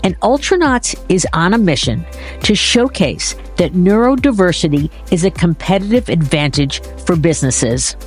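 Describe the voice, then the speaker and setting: A woman hosting a podcast, presenting and interviewing. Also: fairly low pitch. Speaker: podcast host. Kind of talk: scripted podcast introduction, then warm-up interview talk.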